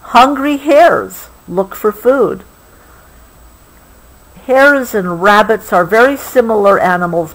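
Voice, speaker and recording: A woman reads a picture book aloud to a child, gently adding explanations as she goes. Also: medium pitch, low-quality recording. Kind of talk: read-aloud storybook with explanatory asides.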